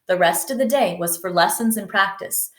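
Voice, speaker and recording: A woman's voice: medium pitch, normal speed. A woman reading a children's story aloud, narrating expressively. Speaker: storybook reader. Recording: roomy indoor echo.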